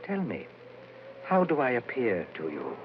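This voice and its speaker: An actor playing an eerie, sorrowful dead madman poet with a slow, portentous, haunting delivery in old-time radio horror style. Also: mid-range voice, huge pitch swings. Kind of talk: 1940s radio horror acting. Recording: muffled, hissy old radio recording.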